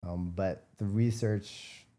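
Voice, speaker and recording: A man's voice: deep voice, fairly even intonation, slow pace, mellow. An American man answering interview questions in a casual, rambling, informal way as a guest. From United States, Midwest. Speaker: interview guest, biomedical researcher and entrepreneur.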